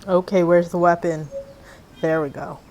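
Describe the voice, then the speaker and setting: A woman's voice: on the low side, steady tone, moderate pace, darkish tone, low-quality recording. A woman giving casual, rambling gameplay commentary, half talking to herself. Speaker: game streamer. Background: faint game music.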